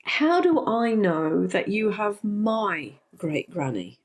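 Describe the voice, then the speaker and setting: A woman's voice: mid-range voice, sing-song, slow pace. A middle-aged woman, a bit congested from a cold, talking candidly to her viewers with frequent ums. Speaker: spiritual teacher and vlogger. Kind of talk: casual talk to camera.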